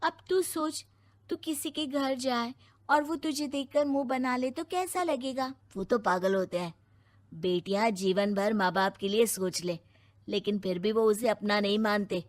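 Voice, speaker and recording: A woman playing an outspoken, opinionated young daughter in an animated moral story, arguing emphatically. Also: on the high side, measured pace, no echo, close to an everyday voice. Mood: argumentative, earnest. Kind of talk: Hindi moral-story cartoon dub.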